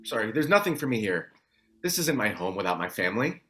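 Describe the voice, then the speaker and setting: A man's voice: on the low side, sing-song, brisk, sharp tone. A man playing a weary, grieving husband and father in a table-read drama. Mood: weary, resigned. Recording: noisy home recording with background ambience.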